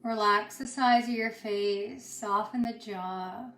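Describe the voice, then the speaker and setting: Slow, soothing woman guiding yoga, roomy echo. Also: medium pitch, steady tone, a bit bright. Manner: soothing, unhurried, pausing between short phrases. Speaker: yoga instructor. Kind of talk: guided yoga and meditation instruction. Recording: roomy echo with music underneath. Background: music.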